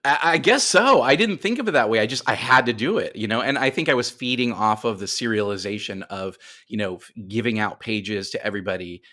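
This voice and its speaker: A man's voice: lowish voice, fairly quick, few pauses, bright, forceful tone. An American man in his forties telling stories casually as an interview guest, in a rambling, self-deprecating way. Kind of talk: casual conversational storytelling.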